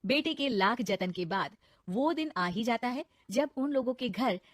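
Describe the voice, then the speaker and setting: A woman's voice: fairly high pitch, lively intonation, unhurried, no room sound, clean studio sound. A woman narrating a scripted comic cartoon story.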